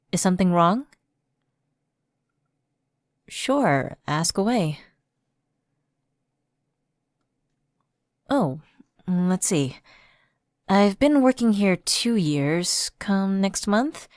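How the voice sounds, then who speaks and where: A woman's voice: fairly low pitch, darkish tone, no echo, clean studio sound. A woman playing a personal assistant who is secretly a fallen angel, evasive and coaxing, in a conversational ASMR roleplay. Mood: chipper, casual.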